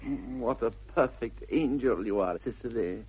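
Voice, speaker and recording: Lovesick posh young victorian gent, british, husky, hems and gushes, old radio comedy, muffled tape. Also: male voice, medium pitch, normal speed. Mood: wounded, adoring. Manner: hesitant, hemming, gushing, plummy. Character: smitten, flustered upper-class young Victorian dandy. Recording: dull vintage 1960s radio recording.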